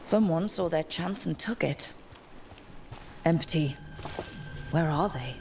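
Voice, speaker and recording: A woman's voice: on the low side, takes it slowly, darkish tone, slight background noise. A woman voicing a cool, observant detective in a fantasy video game, thinking aloud in a terse, matter-of-fact way. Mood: focused, deducing.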